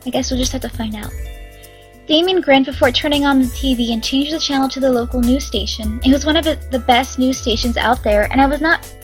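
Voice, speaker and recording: A hoarse young woman reading a visual novel aloud, chatty with excitable asides. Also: sits high, a little fast, soft background music. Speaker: game reader and narrator.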